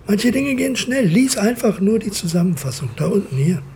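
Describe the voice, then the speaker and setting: An actor playing a very heavy, pedantic, unhurried forensic technician in a naturalistic radio drama, with a precise, deadpan delivery. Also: male voice, sits high, breathy edge, exaggerated voice.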